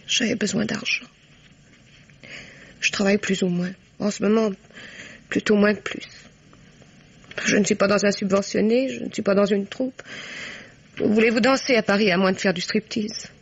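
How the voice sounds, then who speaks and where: An actress playing a broke, self-mocking dancer in a French radio mystery, frank and rueful, with a breathy, creaky voice. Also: female voice, mid-range voice, fairly even intonation, moderate pace, long pauses. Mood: defensive, weary. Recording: dull old radio recording.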